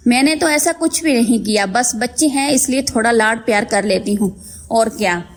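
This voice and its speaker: A woman playing a kind, meek young daughter-in-law who is eager to please, speaking gently and politely in an animated story. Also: a little high, normal speed, a little hiss or hum, lightly acted. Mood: polite, obliging. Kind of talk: Hindi animated moral story dialogue.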